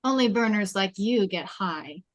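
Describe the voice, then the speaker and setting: A woman's voice: medium pitch, expressive, measured pace, dry recording, natural voice. A woman playing a judgmental, rich, popular teenage girl in a table read, cutting and condescending.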